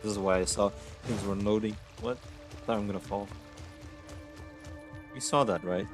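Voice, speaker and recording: Very low-pitched man live-streaming a game. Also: steady tone, normal speed. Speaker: livestreamer playing a game. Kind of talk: casual live game reaction commentary. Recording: music behind the voice. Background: game music.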